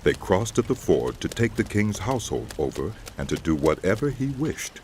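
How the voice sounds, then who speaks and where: A man narrating a dramatized Bible as a scripted, measured storyteller. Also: lowish voice, very animated, a little mellow, slight background noise.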